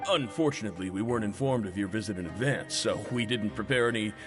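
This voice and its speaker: A man's voice: fairly low pitch, quick pace, natural voice. An actor playing a cheerful male canteen cook, eager to please, with friendly, chuckling service talk. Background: game background music.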